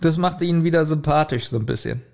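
A man's voice: a little high, brisk, gravelly, a little mellow. A man, casually explaining things as a podcast host in an informal, conversational way. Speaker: podcast host reviewing audio dramas. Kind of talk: casual chat.

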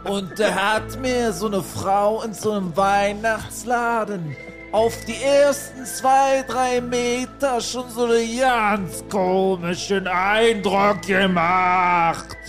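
A man's voice: high voice, fairly even intonation, takes it slowly, fairly bright tone, soft background music. A man voicing a creepy old man who rambles indignantly through horror anecdotes in an improvised comedy audio play. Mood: indignant, rambling.

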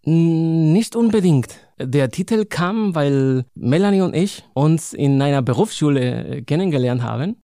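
A man's voice: fairly high pitch, animated, normal speed, hardly any pauses, mellow, dry recording, clean studio sound. A man in his thirties from Spain, speaking German with a Spanish accent as his second language, a poet guest in an interview who talks thoughtfully, searches for words and laughs easily. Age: 30s. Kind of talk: interview, reading own poem.

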